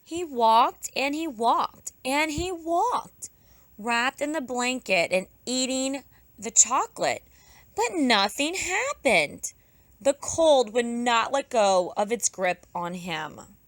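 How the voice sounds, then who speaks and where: A woman teacher narrating a children's storybook aloud, expressively, with drawn-out emphasis for young listeners. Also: on the high side, expressive, fairly bright tone, no echo. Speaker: pre-kindergarten teacher.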